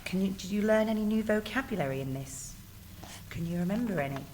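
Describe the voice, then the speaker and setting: A woman speaking as a teacher, gently prompting and praising a young child in an interview. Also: mid-range voice, expressive, brisk, slight background noise.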